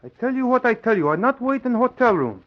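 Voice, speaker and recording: A man's voice: very high voice, very animated, quick pace. A man playing a stubborn, cocky island crook in clipped broken English, in 1940s radio drama style. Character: tough, stubborn male Pacific island crook, cocky and unruffled. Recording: dull old 1940s radio recording.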